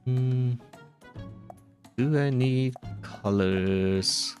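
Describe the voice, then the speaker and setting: A man's voice: mid-range voice, very slow, faint music behind. A man doing casual playthrough commentary, musing to himself in a dry, self-deprecating way. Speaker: gaming video creator.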